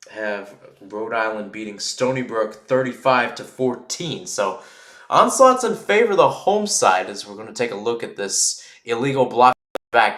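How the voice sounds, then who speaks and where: A man's voice: on the low side, fairly bright tone. A man giving live American football play-by-play, talking conversationally and thinking aloud between plays. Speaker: play-by-play sports commentator. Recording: roomy, echoing sound.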